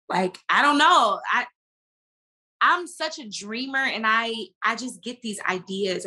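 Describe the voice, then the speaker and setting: A woman guest talking casually and informally in an interview, slangy and animated as she tells stories and quotes herself. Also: medium pitch. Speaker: podcast guest, actress and business owner.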